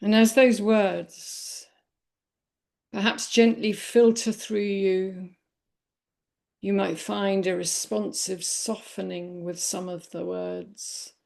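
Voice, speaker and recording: Slow, creaky-voiced woman guiding meditation. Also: mid-range voice, flat delivery. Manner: gentle, unhurried, with long pauses. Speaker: meditation teacher. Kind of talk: guided body scan meditation.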